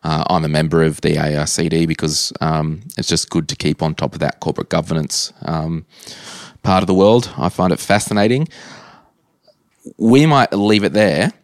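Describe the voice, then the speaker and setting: A man's voice: extremely low pitch, normal speed, no room sound, clean studio sound. An Australian man hosting a podcast, chatting casually and thinking aloud in a rambling, tentative way. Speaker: podcast host. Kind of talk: casual interview chat.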